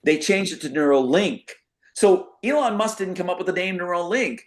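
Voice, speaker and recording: A man's voice: high-pitched, normal speed. A man speaking as a talk show guest in a casual, rambling monologue, emphatic and given to acting out quotes as he explains his theories.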